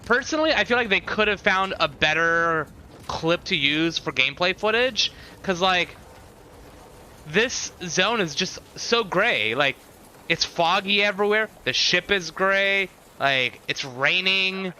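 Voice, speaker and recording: A man's voice: high-pitched, expressive, unhurried, sharp tone, a little hiss or hum, low-quality recording. A man chatting casually to viewers as a livestreamer, giving commentary with sarcastic, unimpressed asides.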